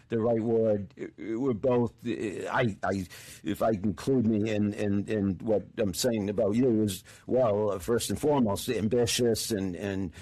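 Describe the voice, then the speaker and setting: A middle-aged man hosting a podcast, with a lowish voice. He talks enthusiastically, with lots of repeated words and restarts as he works his way into his questions. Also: darkish tone. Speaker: podcast host. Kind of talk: casual interview conversation.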